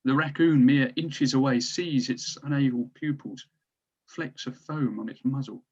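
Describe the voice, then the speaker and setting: A man's voice: mid-range voice, a little slow, a little mellow. A man narrating stage directions from a drama script, recorded on dull, lo-fi audio.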